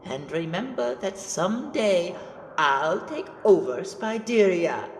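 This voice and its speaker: A man's voice: sits high, sing-song, exaggerated voice. A man voicing an arrogant, menacing cartoon conqueror with a boastful delivery, heard in an echoing space. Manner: slow, boastful. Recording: distant, echoing hall with faint noise.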